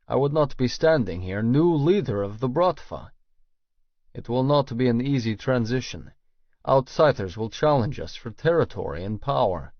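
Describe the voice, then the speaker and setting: A man's voice: medium pitch, expressive, normal speed, dry recording, highs cut off. A man reading scripted first-person fiction as an audiobook narrator.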